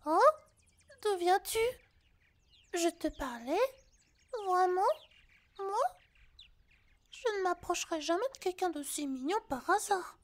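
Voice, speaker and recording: A woman's voice: extremely high pitch, lively intonation, slow pace, pauses often, full character voice. A woman voicing a vain, scatterbrained harpy girl with a childlike, flighty delivery in an ASMR roleplay. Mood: flattered, eager.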